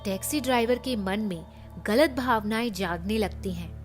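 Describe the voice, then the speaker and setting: A woman storyteller giving a dramatic, measured scripted narration of a horror story. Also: mid-range voice. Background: background music.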